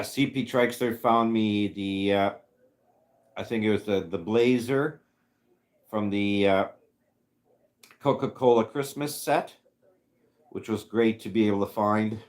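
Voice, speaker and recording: A man in his fifties hosting a casual livestream chat, rambling and halting with many fillers as he answers viewers. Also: fairly low pitch, a little mellow. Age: 50s. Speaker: livestream host reading chat.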